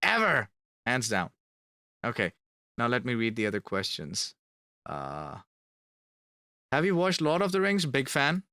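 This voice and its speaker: A man's voice: medium pitch, very animated, measured pace, long pauses, sharp tone, dry recording, clean studio sound. A man chatting casually on a live stream, reading fan questions aloud and answering them, repeating words for emphasis. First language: Finnish. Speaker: band member streaming to fans.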